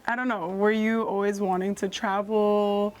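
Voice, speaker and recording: A woman's voice: mid-range voice, little pitch movement, moderate pace. A woman hosting a podcast, chatting casually with a guest, full of fillers and encouraging asides. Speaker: podcast host. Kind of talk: casual interview chat.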